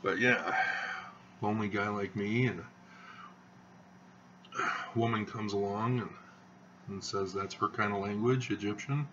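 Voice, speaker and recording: A man hosting his own video channel, talking in a slow, halting monotone with many fillers as he rambles. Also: lowish voice, recorded from a distance, lo-fi sound, background noise. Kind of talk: rambling monologue to camera.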